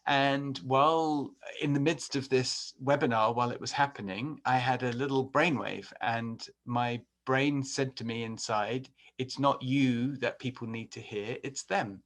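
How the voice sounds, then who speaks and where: A man's voice: mid-range voice, unhurried, hardly any pauses, fairly bright tone. Middle-aged English man, podcast host, chatty webinar presenter, frequent ums and restarts, video call mic. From England. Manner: conversational, frequent fillers and restarts.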